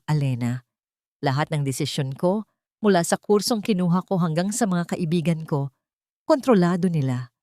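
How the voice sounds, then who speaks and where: A man's voice: high voice, no room sound. A woman storyteller acts a timid young male heir in a romance drama. He speaks in a pleading, guilt-ridden confession, as one afraid of his family. The recording is dry.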